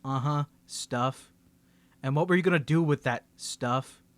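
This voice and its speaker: Man acting as a cocky young male superhero, audio roleplay, slightly high voice, playful banter, flustered stammers, very dry close sound. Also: unhurried, dry recording, close to an everyday voice. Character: confident, teasing young male superhero, flustered around his crush. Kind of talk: scripted audio roleplay, ASMR channel.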